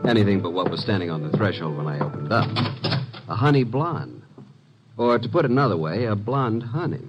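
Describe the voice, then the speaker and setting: An actor playing a wry, flirtatious detective's assistant who narrates breezily in 1950s radio drama style. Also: male voice, fairly low pitch, big pitch swings, fairly quick, lo-fi sound, over loud music. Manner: wry, breezy, smooth-talking.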